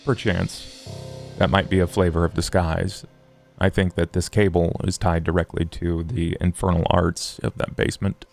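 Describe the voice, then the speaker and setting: A man playing a scholarly, formal vampire in a tabletop game, speaking in measured, wordy phrases over music. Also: little pitch movement, darkish tone. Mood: grave. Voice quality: low, gravelly fry. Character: scholarly, formal, morose male vampire occult theorist. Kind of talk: in-character tabletop roleplay.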